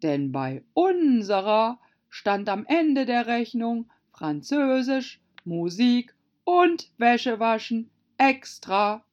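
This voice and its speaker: A gloomy old female turtle creature from a storybook, acted out by a woman, drags out each phrase in a hollow, sighing voice. She is mournful and quick to take offence. The recording is slightly muffled. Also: sing-song. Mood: sighing, self-important. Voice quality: deep, hollow voice. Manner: slow, drawn out, sighing.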